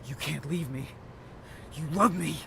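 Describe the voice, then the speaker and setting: An actor playing an obsessive, jilted young man turned killer, slow and intense, in a video game drama. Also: on the high side, gravelly, slight background noise, heavily acted. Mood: possessive, menacing. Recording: loud music over the voice.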